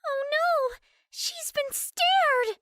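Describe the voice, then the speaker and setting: A woman voicing a gentle, timid young cartoon pegasus pony in a hesitant, earnest way. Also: very high voice, very noisy, exaggerated voice. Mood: panicked. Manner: hesitant, halting, softly earnest.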